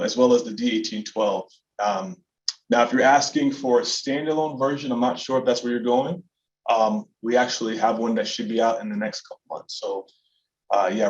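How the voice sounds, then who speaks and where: A man's voice: medium pitch, lo-fi sound. A man giving casual, enthusiastic and emphatic product talk as a company representative on a webinar.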